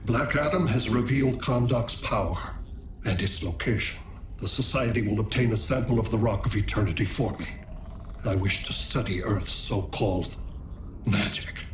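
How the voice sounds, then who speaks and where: A man's voice: fairly low pitch, moderate pace, background noise. A man playing a cold, condescending alien conqueror with a machine intellect in a video game cutscene, speaking formally through an echoing effect. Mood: haughty disdain. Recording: echoing, distant, over loud music.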